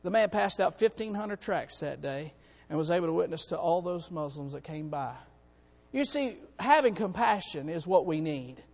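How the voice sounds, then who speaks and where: A hoarse man on church staff preaching a sermon to his congregation, in a folksy way that calls out to them. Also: a little high, lively intonation, moderate pace, a little mellow, big-room sound. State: hoarse, has lost part of his voice. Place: church sanctuary.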